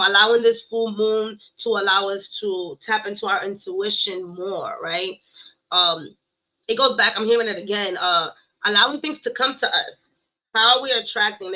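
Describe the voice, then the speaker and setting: A woman's voice: medium pitch, pushed and bright, low-quality recording. Playful, blunt woman giving a tarot reading. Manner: playful, blunt, swears, addresses viewers as y'all; slows down to recite affirmations. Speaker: tarot reader. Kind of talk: casual tarot reading monologue.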